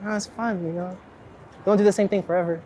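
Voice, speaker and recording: A man's voice: high voice, steady tone, fast, warm tone. Rambling man chatting on a noisy street. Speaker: street photographer being interviewed. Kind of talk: casual conversation while out walking. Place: outdoors on a busy city street. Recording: outdoor city street noise, traffic.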